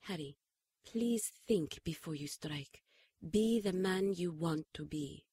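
An actress playing a solemn, stern, mystical woman in a radio drama, speaking in a formal, measured way. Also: lowish voice, background noise. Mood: reproving. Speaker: radio drama actor and narrator. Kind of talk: radio drama narration and dialogue.

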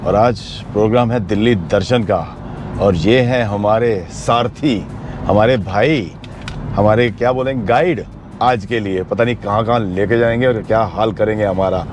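A man's voice: fairly low pitch, normal speed. A man in his fifties hosting a food vlog, chatty and enthusiastic, talking into a lapel mic outdoors. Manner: chatty, enthusiastic, mixes English words into Hindi. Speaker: food vlogger host. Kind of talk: casual food vlog narration. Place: outdoors on busy city streets. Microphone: clip-on lapel mic. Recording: street noise behind.

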